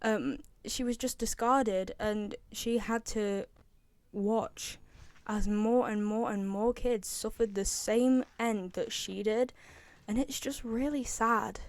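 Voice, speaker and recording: Woman, fairly high voice, casual fan commentary, emphatic, rambling, close dry sound. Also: fairly even intonation, slow, darkish tone, dry recording. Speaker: fan commentator ranking game voice lines. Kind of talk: casual opinionated chat.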